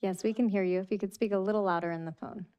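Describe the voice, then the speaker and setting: Female meeting clerk, warm low timbre, brisk and businesslike. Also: steady tone, smooth, muffled recording. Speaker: meeting clerk calling speakers. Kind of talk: procedural announcements.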